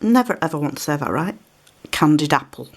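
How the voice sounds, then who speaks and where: A woman's voice: low-pitched, fairly quick. A woman with a slight cold, chatting casually through a step-by-step craft demonstration at her table. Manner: relaxed, chatty, explains while working. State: has a cold, occasional coughs. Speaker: craft tutorial presenter. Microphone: overhead camera on table, hands shown.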